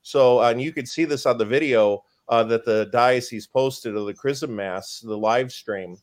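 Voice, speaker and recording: Booming-voiced priest, emphatic and indignant. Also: male voice, moderate pace. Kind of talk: casual conversation on a live stream.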